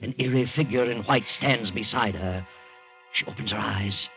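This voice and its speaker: Deep-voiced man narrating a radio drama. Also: normal speed. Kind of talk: scripted narration. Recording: dull old radio recording with music.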